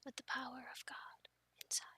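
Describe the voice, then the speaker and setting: A woman's voice: normal speed, bright, forceful tone, no room sound. A young woman performing an ASMR roleplay in a playful, girlish manner, close to the microphone. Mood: hushed storytelling. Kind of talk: ASMR roleplay, whispered storytelling and casual chat.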